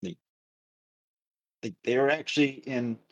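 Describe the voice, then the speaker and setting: A man co-hosting a podcast, telling a story casually with halting, repetitive, circling phrasing. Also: mid-range voice, fairly even intonation, muffled recording. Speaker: podcast co-host.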